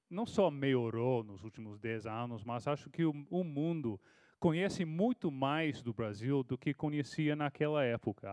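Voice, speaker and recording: A man's voice: mid-range voice, no echo. A man in his thirties from Texas, a native English speaker with an American accent, telling a story on a university panel in a hesitant way, with many restarts. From Texas, United States. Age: 30s. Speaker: panel speaker, foreign correspondent. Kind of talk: panel talk answering a question.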